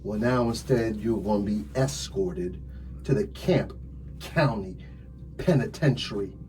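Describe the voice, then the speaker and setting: A man playing a smug police detective in an ASMR crime roleplay, telling things dramatically with drawn-out, hushed phrases. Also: fairly low pitch, close to an everyday voice. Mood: stern, confronting. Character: smug theatrical male police detective interrogating a suspect.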